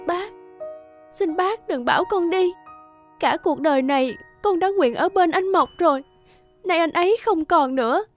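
A devoted young village woman from a cartoon folk tale. Her very high, gentle voice pleads earnestly and respectfully, over music. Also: animated, normal speed. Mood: sorrowful, earnest. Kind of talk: animated folk tale voice acting. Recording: loud music behind the voice.

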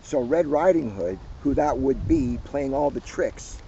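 A man's voice: medium pitch, expressive, measured pace, vocal fry, dark tone. A man in his fifties chatting casually to camera as co-host, recorded outdoors. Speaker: police officer co-hosting a read-aloud. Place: outdoors by a lake. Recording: very noisy, windy, dull outdoor camera sound.

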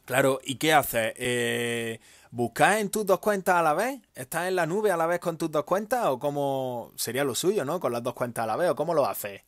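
A man's voice: fairly high pitch, expressive, normal speed, no echo, studio quality. Man, Spain Spanish accent, young adult, friendly host, casual, faint music. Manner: upbeat, informal, says "tío". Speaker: host, gaming channel. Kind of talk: casual interview questions.

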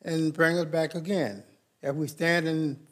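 Man, slightly high voice, leads communion and prayer at a pulpit mic in a big sanctuary, measured and reverent. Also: fairly even intonation. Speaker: church leader at the Lord's Supper. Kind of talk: plain church service leading and prayer. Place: large church sanctuary.

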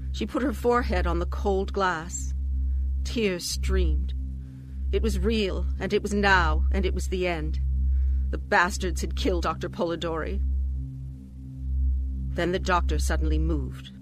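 A woman narrating a dark sci-fi thriller audio drama. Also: lowish voice, a little slow, pauses often, close to an everyday voice, rough recording. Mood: grim, dramatic. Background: loud music.

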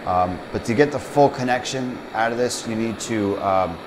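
A man's voice: medium pitch, normal speed, background noise. A man in his thirties, a plumber, casually talking through a hands-on demonstration at a workbench, plain and step by step with fillers. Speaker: plumbing instructor. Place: indoor workshop bench.

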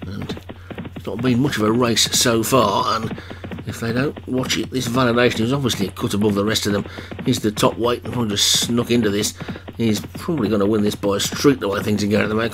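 Male race caller, a little croaky, chatty between calls; low-ish voice, background noise. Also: steady tone, a little fast. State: voice getting croaky. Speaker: race commentator for an online game league. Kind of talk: live horse race commentary.

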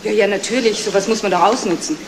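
A woman's voice: medium pitch, quick pace, lightly acted. Female actor for flirty, candid, broke photographer, chatty mid-range delivery, vintage radio crime drama with noise. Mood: flirty, playful. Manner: chatty, wry, confiding. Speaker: actress. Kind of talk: 1970s German radio crime drama acting. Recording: noisy old 1970s radio play recording.

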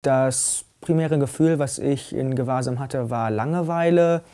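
A man's voice: mid-range voice, a little slow, warm tone. A young man of eighteen answering interview questions in a plain, matter-of-fact way. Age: young adult. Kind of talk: recorded interview. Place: dim indoor set.

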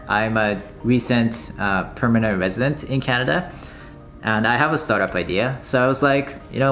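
A man's voice: mid-range voice, a bit bright. A young man from Japan talking casually as he vlogs, thinking aloud with many fillers. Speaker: YouTube developer vlogger. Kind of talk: casual vlog narration. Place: home desk.

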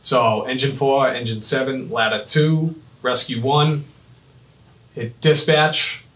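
A man in his thirties giving a step-by-step software demonstration in short, clipped instructional phrases. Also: medium pitch, steady tone, slow. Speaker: fire dispatch trainer. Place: small indoor office at a computer desk. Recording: roomy, echoing, mic at a distance.

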